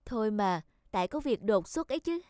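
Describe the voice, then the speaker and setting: A woman voicing a gullible, soft-hearted young woman in a cartoon, meek and apologetic. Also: mid-range voice, animated, brisk, no room sound, clean studio sound, close to an everyday voice. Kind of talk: animated cartoon dubbing.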